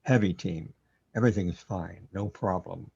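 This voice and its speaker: A man playing a confused, paranoid, brooding man who lives inside a computer, with a husky voice, in an audio drama. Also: sits low, normal speed, a little mellow, no echo, full character voice. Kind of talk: audio drama monologue and dialogue. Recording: dull, lo-fi, dry close recording.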